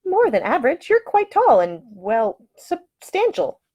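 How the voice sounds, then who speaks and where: Woman reader as earnest young lady, brisk, prim, technically minded, audiobook romance dialogue. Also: sits high, wildly swooping pitch, mellow, voice pushed up, heavily acted.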